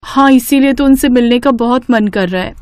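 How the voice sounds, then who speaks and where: A woman's voice: a little high, moderate pace, darkish tone, no room sound, clean studio sound, lightly acted. A woman voicing an overworked young housewife in a family cartoon, plaintive and complaining. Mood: plaintive, feigning.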